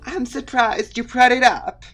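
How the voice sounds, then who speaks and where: A man's voice: very high-pitched, steady tone, a little slow, fairly bright tone, soft background music, voice pushed up, full character voice. A man voicing a prim, judgmental teenage schoolgirl who is easily huffy, in a visual novel game. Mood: neutral, probing.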